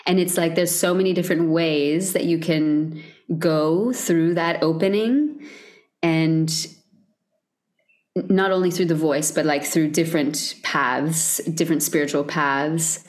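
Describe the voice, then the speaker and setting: A woman with a deep speaking voice talking softly and reflectively about voice and spirituality in a casual podcast conversation. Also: steady tone, a little slow. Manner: soft, reflective, spiritual, lots of "like". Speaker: podcast guest, voice coach.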